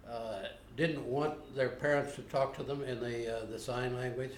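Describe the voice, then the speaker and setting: An older man being interviewed, recalling his past in a plainspoken, unhurried way with frequent pauses. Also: medium pitch, flat delivery, a little hiss or hum. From United States. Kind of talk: unscripted recollection. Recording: roomy home video recording.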